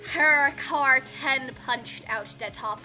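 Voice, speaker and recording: A woman with a high, piercingly bright voice reads a comic story aloud. Her delivery is slow, theatrical and exclamatory, over background music. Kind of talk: comedic dramatic reading.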